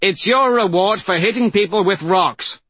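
A man voicing a practical male warrior in an audiobook, brisk, matter-of-fact and wry. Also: high-pitched, a bit bright, husky, no echo, pitched above the performer's own voice.

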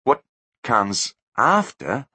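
A man doing a shrill, panicking hired killer. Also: wildly swooping pitch, very slow, bright, forceful tone, slightly rough, heavily acted. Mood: frightened. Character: hired killer man, sneering then terrified.

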